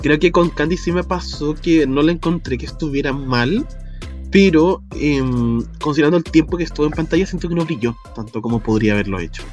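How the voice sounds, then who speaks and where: A man's voice: fairly high pitch, fairly quick, darkish tone, faint music behind. Chatty Chilean man with slang. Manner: chatty, laughs often, uses slang. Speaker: podcast co-host. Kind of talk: casual chat.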